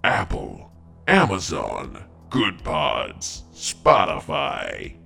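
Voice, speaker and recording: Guy doing a horror podcast promo, super slow n dramatic, deep voice, short phrases. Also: wildly swooping pitch, vocal fry, sharp tone, faint music behind. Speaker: podcast announcer.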